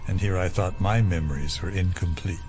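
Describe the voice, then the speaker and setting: An actor playing a sardonic, coolly menacing male interrogator in an audio drama, formal and deliberately mocking. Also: low voice, a little mellow, faint music behind, close to an everyday voice. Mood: smug, cold.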